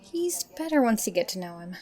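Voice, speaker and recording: Woman as a mellow, tactful adventurer. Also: mid-range voice, very animated, fairly quick, natural voice. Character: tactful, wry female adventurer interviewing recruits. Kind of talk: fantasy audio drama acting.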